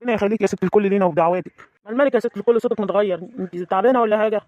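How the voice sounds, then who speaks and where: Someone playing a devoted Egyptian son, rapid-fire. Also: mid-range voice, no room sound, phone-quality sound, close to an everyday voice. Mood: reassuring. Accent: Egyptian Arabic. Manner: brisk, soothing, calls her "lady of all". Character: devoted adult son, reassuring his mother.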